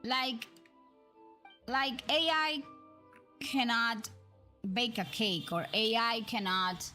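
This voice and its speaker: Higher-voiced woman chatting on a livestream. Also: takes it slowly, bright, forceful tone. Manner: conversational, addresses viewers with frequent tag questions. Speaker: live streamer, host. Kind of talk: casual chatty game livestream commentary. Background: background music.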